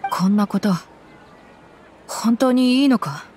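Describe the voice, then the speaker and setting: A woman's voice: on the high side, takes it slowly, a little mellow, a little hiss or hum. A woman playing a tough young female mercenary bodyguard in an anime game, frank and loyal, speaking plainly over music. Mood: conflicted, earnest. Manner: rough, frank speech turning polite. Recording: background music behind voice.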